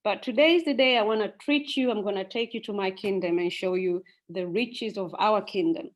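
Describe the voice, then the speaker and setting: A woman voicing a smooth-talking male shark in a folk tale, coaxing and friendly. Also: mid-range voice, brisk, close to an everyday voice. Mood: reassuring.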